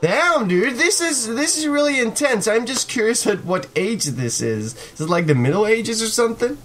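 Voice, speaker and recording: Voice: mid-range voice, big pitch swings, moderate pace, fairly bright tone. A video game host giving casual, informal, reactive commentary during a let's play. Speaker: host of a gaming channel.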